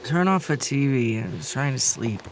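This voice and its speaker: A man's voice: medium pitch, lively intonation, measured pace, noisy background. A man playing an anxious, guilt-ridden suburban husband in a horror audio drama. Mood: weary, subdued. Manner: confessional narration breaking into frantic outbursts. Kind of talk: horror audio drama acting with first-person narration.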